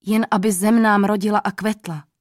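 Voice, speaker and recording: Humble blind old man read by a woman, quiet, slow, low voice, earnest, dry. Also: steady tone, dry recording, close to an everyday voice. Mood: solemn. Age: 70s. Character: blind old male ferryman, humble and wise.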